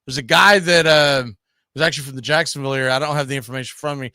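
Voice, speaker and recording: A man's voice: medium pitch, steady tone, rapid-fire, pushed and bright, clean tone, no room sound. A man hosting a live baseball history show, chatting casually and often repeating and rephrasing as he goes. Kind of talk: casual sports chat with co-host.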